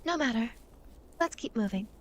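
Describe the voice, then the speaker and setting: A woman's voice: a little high, expressive, moderate pace, a little hiss or hum, natural voice. A woman playing a playful, vain female demon who guides souls through the afterlife in an ASMR roleplay, teasing, smug and mock-indignant.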